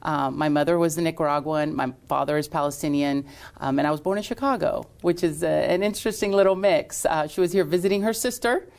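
Lower-voiced middle-aged woman telling her story. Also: a little fast, creaky. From Lexington, Kentucky. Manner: warm storytelling with frequent fillers. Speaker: salon owner and entrepreneur. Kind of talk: casual interview answers.

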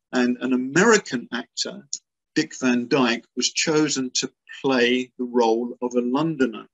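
A man in his sixties from England, a guest author on a video call from a small room at home, explaining with lively, emphatic repetition. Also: mid-range voice, low-quality recording. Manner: animated, gestures as he explains; lively, emphatic with repeated words. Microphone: laptop webcam on a video call.

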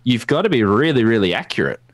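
An Australian man giving an informal technical presentation in a halting, explanatory way. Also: medium pitch, big pitch swings, no room sound. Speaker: guest presenter, amateur radio enthusiast. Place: indoors at a desk, video call.

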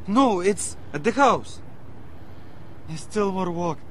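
A male actor playing a nervous young captive in a video game, a non-native speaker with halting, broken English. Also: high voice, expressive, fairly bright tone, a little hiss or hum, exaggerated voice. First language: not English.

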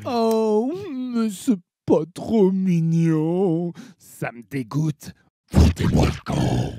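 A man's voice: high-pitched, sing-song, extremely slow, creaky, exaggerated voice. A man playing an imposing, flamboyant demon lord of lust in a musical cartoon dub, grandiose and mocking. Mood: disgusted. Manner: theatrical, taunting, grandiose. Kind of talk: sung musical fan-dub performance. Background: loud music.

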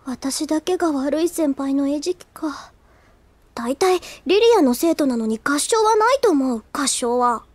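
A woman's voice: high voice, expressive, measured pace, darkish tone, dry recording. A woman playing an earnest, easily flustered, self-doubting teenage schoolgirl in an anime audio drama. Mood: indignant. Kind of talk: anime audio drama acting, with inner monologue.

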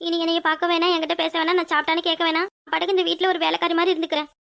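A woman's voice: very high-pitched, little pitch movement, rapid-fire, vocal fry, a bit bright, exaggerated voice. A woman playing an emotional, stubborn young wife in a domestic drama, pleading and pouting in a plaintive, insistent way. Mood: hurt, accusing. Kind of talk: domestic serial drama acting.

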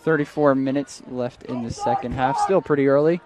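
A young man doing live play-by-play for a night soccer game outdoors, his voice strained, getting louder on attacks and correcting himself often. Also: medium pitch, a little fast. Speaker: play-by-play commentator. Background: crowd noise.

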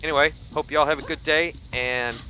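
A man's voice: a little high, steady tone, a little fast, pushed and bright, low-quality recording. A man giving casual game commentary as host of a gaming video, with game ambience behind him. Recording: clear background noise.

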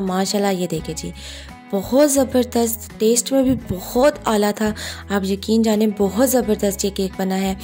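Woman cooking-channel host, reassuring voice-over, soft music bed. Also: mid-range voice. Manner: warm, reassuring, repeats "ye dekhiye ji" and "ji janab".

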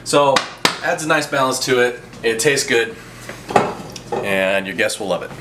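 A man's voice: medium pitch, unhurried. A young man hosting a spoof cooking show, recorded on a camera mic set back from him in a home kitchen. His voice bounces around the room and carries a layer of hiss. He tells ridiculous stories about the food with a straight face. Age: young adult. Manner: deadpan, absurd tall tales told straight. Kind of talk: mock cooking show, deadpan parody. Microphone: camera mic from a few feet away. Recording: echoey kitchen, distant camera mic, noisy.

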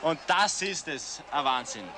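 A man's voice: on the high side, expressive, unhurried. A man with an Austrian accent giving colloquial expert analysis during live ski flying commentary. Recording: noisy outdoor sports broadcast.

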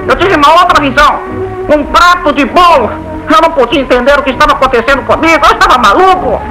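A very high-pitched, neurotic cartoon duck with a Brazilian accent. He recounts his troubles anxiously, stammering and pleading, with loud music playing behind him. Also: poor audio. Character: neurotic male cartoon duck confessing strange cravings. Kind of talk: Brazilian cartoon dub narration.

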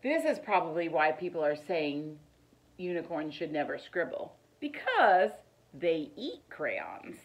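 A middle-aged woman reads a children's picture book aloud in an expressive, deliberate storytelling manner. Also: low voice, muffled recording. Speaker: teacher reading aloud. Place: living room, indoors.

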